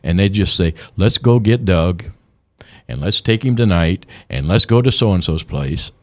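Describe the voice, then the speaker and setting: Older man, radio guest, swooping lively pitch, lowish voice, repeats words. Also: unhurried. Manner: animated storyteller, repeats words when excited. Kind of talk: radio interview.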